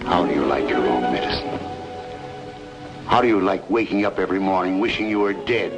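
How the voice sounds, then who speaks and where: A man's voice: sits low, brisk, lightly acted. An actor playing a bitter, vengeful older man, once a father figure, in an accusing, theatrical old-time radio noir style. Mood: cold, gloating. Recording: old radio recording with music behind.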